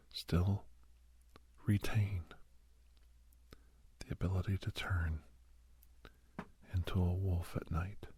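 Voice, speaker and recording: A man with an American accent playing a kindly, gentle doctor who counsels a young werewolf in a breathy, whispered ASMR roleplay. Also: low voice, dry recording, full character voice. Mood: sympathetic, reassuring. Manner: gentle, reflective, slow and halting.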